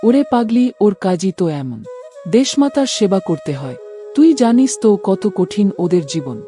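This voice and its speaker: A woman as a mellow, affectionate motherly elder. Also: medium pitch, sing-song, a little slow, soft background music. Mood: coaxing. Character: affectionate, reassuring middle-aged mother-in-law, gently scolding. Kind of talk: cartoon story voice acting.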